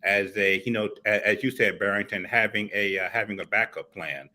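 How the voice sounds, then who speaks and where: Deep, bright-voiced man, halting panel talk. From United States, Miami. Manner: halting, many "uh" fillers and restarts. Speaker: morning show co-host. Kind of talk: casual panel discussion.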